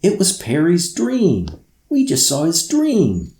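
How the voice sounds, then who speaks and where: A man plays an excitable young schoolgirl in a children's storybook. The voice is lifted well above his usual talk, eager and emphatic, and it sounds in a roomy, echoing space. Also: a little high, slow, pitched above the performer's own voice, heavily acted. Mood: excited.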